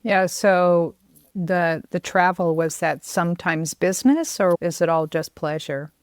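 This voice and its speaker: An American woman hosts a conversational interview. Her voice sits a little low and comes across smooth and clean. The recording is dry, without audible room. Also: measured pace, no room sound. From United States. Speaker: podcast host.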